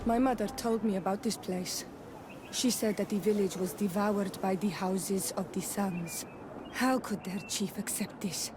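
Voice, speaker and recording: A troubled young islander voiced by a woman speaks at a middling pitch, grave and wary. She sounds like a questioning game companion, with clear ambient noise around her. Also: fairly even intonation, unhurried, lightly acted. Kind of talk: scripted video game dialogue.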